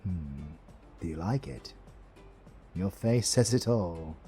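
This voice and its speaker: Casting: male actor as slow-talking British supervillain, suave and teasing, low-pitched, for romantic comedy audio drama. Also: lively intonation, music in the background. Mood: fond, teasing. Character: suave, sardonic male supervillain, playfully flirtatious.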